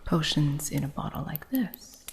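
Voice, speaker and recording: A woman playing a female witch shopkeeper who teaches an apprentice, in a soft whisper with dry humor, for an ASMR roleplay. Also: deep voice, expressive, a little slow, vocal fry, slight background noise, full character voice. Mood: hushed.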